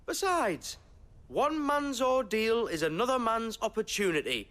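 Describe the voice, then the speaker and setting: An actor playing a cheeky, shifty young village rogue in a video game, with a glib, colloquial manner. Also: male voice, extremely high pitch, sing-song, a little slow, a bit bright, heavily acted. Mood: breezy, defensive. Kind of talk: video game cutscene acting.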